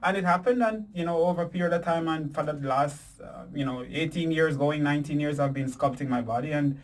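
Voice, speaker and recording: A man's voice: on the high side, brisk. Man rambling with fillers. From Jamaica. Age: 40s. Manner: rambling, with many fillers and restarts. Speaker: fitness and wellness professional guest. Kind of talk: casual interview chat. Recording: distant mic.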